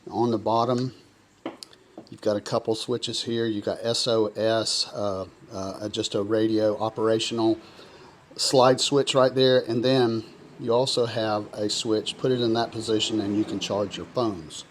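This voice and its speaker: A man's voice: on the low side, fairly even intonation, measured pace. Male American product reviewer, casual hands-on demo delivery, some faint background noise. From coastal North Carolina, US. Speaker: product reviewer presenting to camera.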